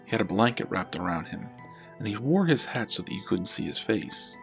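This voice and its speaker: A man narrating a scripted horror story as a storyteller, in a measured, dramatic way. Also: lowish voice, big pitch swings, gravelly, soft background music. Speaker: storyteller, channel host.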